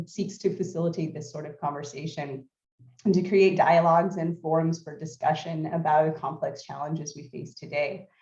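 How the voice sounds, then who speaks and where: A woman from California giving remote welcome remarks as an institute president, hoarse and clearing her throat often. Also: sits low, mellow. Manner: frequent throat clearing, measured. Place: at home, remote video link. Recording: dull, echoey remote audio.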